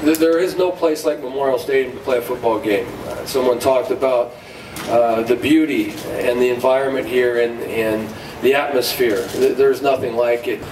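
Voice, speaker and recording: A man's voice: mid-range voice, fairly even intonation. A middle-aged man, a football coach, speaking off the cuff with restarts and fillers. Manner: halting, repeats words, many fillers. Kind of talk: off-the-cuff press conference remarks. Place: conference room at a stadium. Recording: echoey room from far off, noisy.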